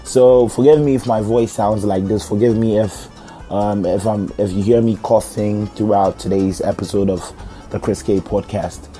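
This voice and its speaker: Young male podcast host with a cold, low and mellow, loose and chatty with lots of fillers, music faint underneath. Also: steady tone, unhurried. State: has a cold. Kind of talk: casual podcast monologue.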